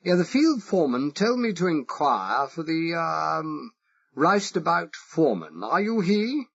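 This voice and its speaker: A man's voice: fairly high pitch, very animated, unhurried, vocal fry, fairly bright tone, slightly rough, voice pushed up, heavily acted. A man voicing a flustered, pompous upper-class Englishman with an exaggerated English accent. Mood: flustered posh. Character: posh Englishman feigning fussy secretary. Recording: dull lo-fi recording.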